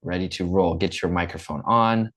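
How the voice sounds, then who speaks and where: A man's voice: low voice, moderate pace, a bit bright. A young American man from the Midwest teaching an English class online, upbeat and checking in often. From Midwest United States. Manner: upbeat, repeats and checks in with "okay?".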